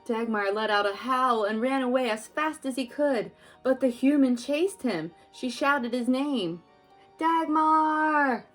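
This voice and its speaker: A young woman and mother reading a children's book aloud and then chatting gently with her child about it. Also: a little high, a little slow, few pauses, soft background music. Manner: gentle, expressive, talks to the child.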